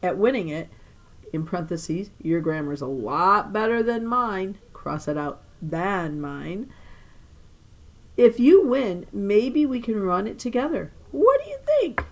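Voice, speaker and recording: A woman's voice: mid-range voice, big pitch swings, takes it slowly, darkish tone, exaggerated voice. Lady reading as a sincere little schoolgirl from a kids book, dictating carefully and fixing her words. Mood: earnest, careful. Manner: careful dictation with self-corrections, spelling out words. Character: earnest young schoolgirl composing and correcting a letter.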